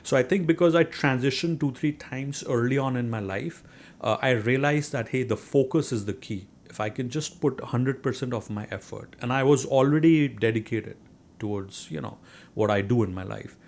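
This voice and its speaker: A man's voice: medium pitch, normal speed, gravelly, darkish tone. A middle-aged man from India with a strong Indian accent, a guest speaking earnestly in an interview, with many "you know" and "right?" fillers. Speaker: real estate investor guest. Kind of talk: conversational interview.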